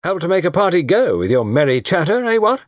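Man acting a brash Englishman, lively pitch. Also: slow pace, no echo, studio quality, full character voice. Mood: mocking. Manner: mocking, bantering, loud. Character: brash, sarcastic upper-class young Englishman, petulant.

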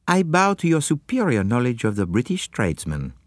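A man voicing a fussy, precise older detective who speaks with polite, measured courtesy and often murmurs softly. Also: medium pitch, wildly swooping pitch, no room sound, clean studio sound. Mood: courteous.

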